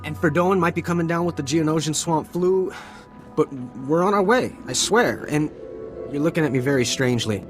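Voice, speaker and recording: An actor playing a desperate, war-weary deserter soldier in a video game, stammering and pleading nervously. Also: male voice, on the high side, brisk, over music, lightly acted. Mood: nervous, evasive. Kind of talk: dramatic video game acting.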